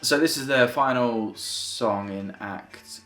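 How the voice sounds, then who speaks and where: A man's voice: medium pitch, slow pace, music in the background. A man giving casual reaction commentary on a stage show he acted in. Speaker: amateur pantomime cast member. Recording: roomy echo.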